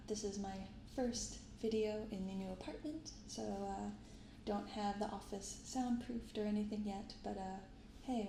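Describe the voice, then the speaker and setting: A soft-spoken woman hosting an unhurried ASMR-style unboxing, recorded in an untreated apartment room. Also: mid-range voice. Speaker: host of a card unboxing channel. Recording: roomy untreated apartment, clear background noise.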